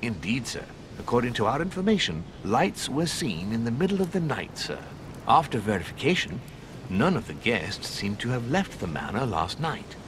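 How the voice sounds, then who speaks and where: A man's voice: sing-song, normal speed, natural voice. A man playing a deferential, ceremonious manor servant in a video game, formal and eager to reassure. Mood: formal, careful. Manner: obsequious, formal, addresses listener in third person. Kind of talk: video game cutscene acting. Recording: constant rumbling background noise.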